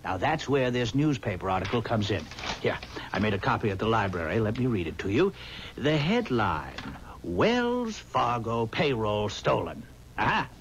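Eager, animated amateur sleuth. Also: male voice, on the low side, moderate pace. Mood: excited, persuasive. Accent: American. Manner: emphatic, explanatory, rhetorical questions. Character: eager, stubborn amateur sleuth convinced of his theory. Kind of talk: 1970s radio drama acting. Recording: noisy, muffled 1970s radio recording.

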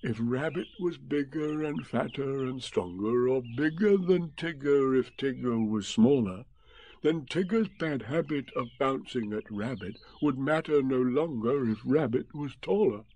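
A man reading a storybook aloud, voicing a slow, dreamy, muddled male teddy bear. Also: on the high side, expressive, slightly rough, dull audio, voice pushed up. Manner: slow, vague, gentle.

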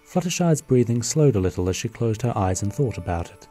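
A storybook narrator for a cartoon radio play, telling the scenes plainly and evenly. The voice sits at a middle pitch. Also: big pitch swings, fairly quick, mellow, soft background music.

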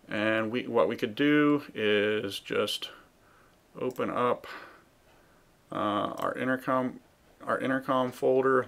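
A man hosting a casual, unscripted software walkthrough, halting and thinking aloud as he goes. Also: lowish voice, creaky. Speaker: tutorial host.

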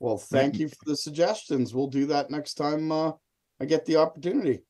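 A man's voice: fairly high pitch, normal speed, a little mellow. A middle-aged man giving a casual live demonstration on a video call as a hobby experimenter. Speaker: hobby experimenter, guest presenter. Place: indoor room, video call.

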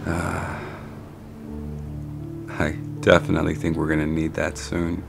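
A young man in an ASMR role-play as a doting boyfriend, speaking softly and intimately with long, tender pauses. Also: deep voice, monotone, gravelly, over music. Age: young adult.

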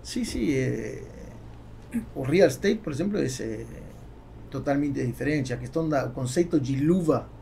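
A man with an Argentine Spanish accent talks in an interview, halting as he hunts for words in his second language. His voice is mellow and creaky, with some noise behind it on a close studio mic. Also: mid-range voice, animated. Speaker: podcast guest, entrepreneur. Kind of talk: conversational interview. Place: small foam-treated podcast studio. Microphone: close podcast microphone on boom arm.

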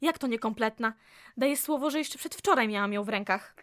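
A woman's voice: on the high side, brisk, a bit bright, no echo, studio quality, lightly acted. A woman playing an anxious, fretful archivist in an audio drama, speaking in exclamations. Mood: alarmed, fretful. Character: flustered, anxious female archivist in communist-era Poland.

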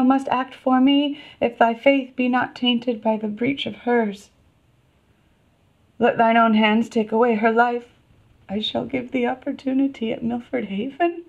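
A woman's voice: high voice, darkish tone, highs cut off. A woman playing a passionate, wronged young princess in a classical Shakespearean dramatic monologue, intense and emotional.

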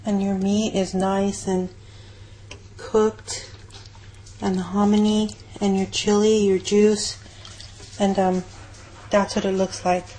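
Woman, medium pitch, casual cooking demo, unscripted and rambling, handheld camera audio, faint noise. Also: little pitch movement, slow pace, long pauses, dark tone. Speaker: home cook. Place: home kitchen, indoors. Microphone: handheld camera mic. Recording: noisy amateur camera audio with clicks.

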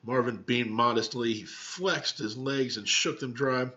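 A man's voice: medium pitch, steady tone, unhurried, sharp tone. Middle-aged guy reading a kids book to students, slightly muffled webcam mic, explains words casually. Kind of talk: read-aloud storytelling with word explanations. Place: small home room with cabinets, indoors. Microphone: webcam microphone at arm's length. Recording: dull webcam microphone sound.

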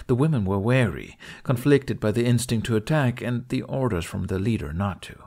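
A man's voice: medium pitch, moderate pace, gravelly, darkish tone. A man reading a scripted story expressively as an audiobook narrator.

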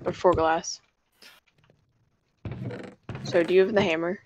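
A woman's voice: fairly low pitch, fairly even intonation, slow, a little hiss or hum. A woman in a casual gaming chat, practical and matter-of-fact, over a headset mic. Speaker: gamer.

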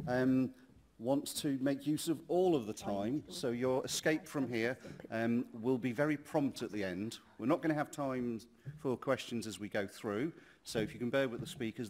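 A man's voice: mid-range voice, darkish tone. A man chairing a conference session, introducing speakers in a genial, chatty way with many ums, at a lectern in a large hall.

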